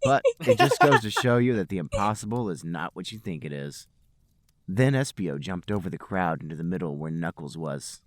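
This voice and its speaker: A man's voice: deep voice, sing-song, normal speed, slight background noise. A man hosting a podcast, giving a comic dramatic reading of amateur fiction with mock-serious storytelling. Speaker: podcast host and reader.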